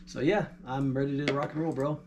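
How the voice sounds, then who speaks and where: A man's voice: medium pitch, steady tone, a little fast, darkish tone. Laid-back man, noisy recording. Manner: laid-back, informal slang. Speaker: co-host of a music reaction show. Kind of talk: casual album discussion. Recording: clear background noise.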